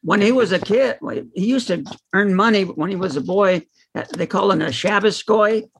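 A man casually telling anecdotes in a group discussion, piecing them together haltingly. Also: high voice, a bit bright. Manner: halting, piecemeal storytelling. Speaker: book club member. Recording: clearly noisy recording with clicks.

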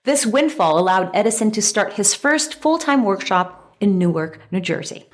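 A woman's voice: mid-range voice, animated, normal speed. A woman reading scripted documentary narration.

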